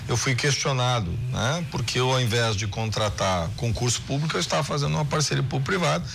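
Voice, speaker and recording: A man, a former mayor, explaining his health policy in a lively interview, often adding "né". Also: medium pitch, fast talker, creaky, a little hiss or hum. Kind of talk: political interview.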